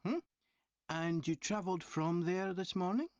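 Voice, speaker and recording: An actor playing a polite train company representative asking survey questions in a scripted listening-test dialogue, clearly enunciated. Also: medium pitch, measured pace, a little mellow, no echo, muffled recording, lightly acted. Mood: polite, inquiring.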